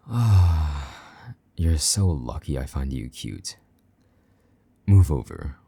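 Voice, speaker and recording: A man voicing a possessive, jealous vampire knight in an intimate audio roleplay, speaking in a low murmur that drops into soft whispers, teasing and a little gruff. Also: exaggerated voice.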